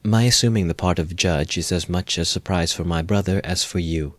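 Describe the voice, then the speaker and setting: A man voicing an earnest, resolute young woman speaking with measured feeling. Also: low-pitched, no room sound. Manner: earnest and deliberate.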